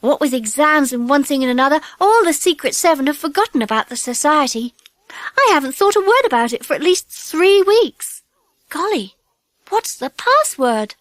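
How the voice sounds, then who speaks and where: A woman's voice: high-pitched, very animated, normal speed, a bit bright, no echo. A woman voicing a chatty, teasing young schoolgirl in a children's audiobook, with a pert, girlish, exclamatory delivery. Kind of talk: children's audiobook character acting.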